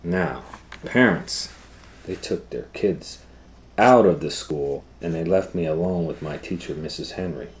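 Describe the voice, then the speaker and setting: A man reading a children's book aloud as a storytime educator, in simple, deliberate phrases with explanatory asides. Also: low-pitched, a little hiss or hum.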